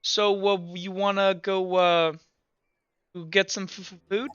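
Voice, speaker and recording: A man's voice: high voice, flat delivery, slow pace, a bit bright, smooth, no room sound, voice pushed up. A young man putting on the voice of a timid young man who stammers on the first sounds of his words. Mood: shy. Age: young adult. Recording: dull lo-fi mic.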